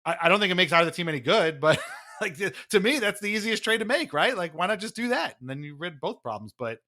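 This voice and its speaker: A man hosting a podcast, talking casually about hockey in a rambling, animated, conversational way. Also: high-pitched, fast. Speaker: podcast host.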